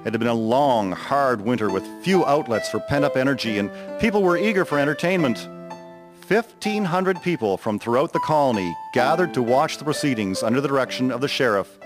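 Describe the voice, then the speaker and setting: Male narrator for historical radio drama, medium pitch, guitar backing. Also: expressive, a little fast. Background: plucked guitar music.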